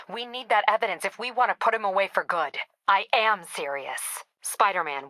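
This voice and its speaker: A woman's voice: mid-range voice, bright, forceful tone, no echo, poor audio. An American woman playing a tough, driven police captain in a video game, speaking in a clipped, authoritative, dry manner.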